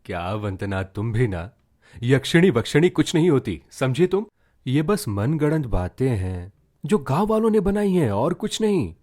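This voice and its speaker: A man's voice: medium pitch, sing-song, normal speed, a little mellow, voice pushed up. A man playing a young husband in a story, sceptical, teasing and amorous, with a dismissive way of talking. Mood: mocking, amused.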